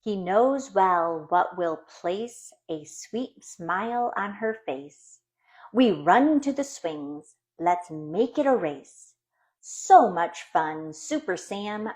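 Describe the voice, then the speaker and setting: Slow-paced woman reading a children's story. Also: lowish voice. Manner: slow, deliberate phrasing for young children. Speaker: storybook reader. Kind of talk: expressive children's read-aloud.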